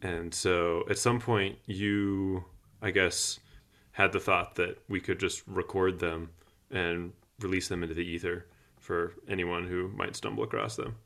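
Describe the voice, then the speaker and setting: A man's voice: low voice, fairly even intonation, a little slow, gravelly, a bit bright. A man of thirty chatting casually as the co-host of a podcast.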